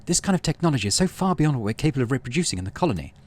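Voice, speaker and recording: A man's voice: mid-range voice, sing-song, rapid-fire, voice pushed up. A man voicing an earnest, stoic teenage girl scientist in a visual novel, with precise, formal speech. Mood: proud, intense. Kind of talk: reading visual novel dialogue aloud.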